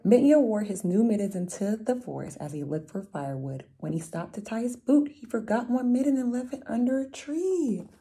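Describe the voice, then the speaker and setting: A woman's voice: a little high, lively intonation, moderate pace, few pauses, warm tone, slightly rough. A young adult woman reading a picture book aloud to children, expressively, with chatty explanatory asides. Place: room at home, indoors.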